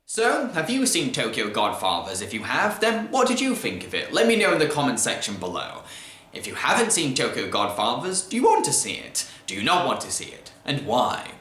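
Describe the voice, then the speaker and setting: A man narrating a scripted video film review in an enthusiastic, expressive manner. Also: mid-range voice, moderate pace. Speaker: film reviewer and show host. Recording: roomy, echoey voice track.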